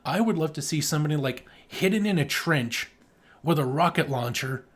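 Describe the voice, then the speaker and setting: Higher-pitched man in casual, sarcastic movie chat. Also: lively intonation, moderate pace. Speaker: podcast co-host.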